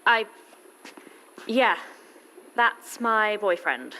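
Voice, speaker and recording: A woman acting a brisk, easily flustered colleague in an audio drama. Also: mid-range voice, animated, pushed and bright, a little hiss or hum, heavily acted, rough recording. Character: brisk, flustered colleague hiding private worries.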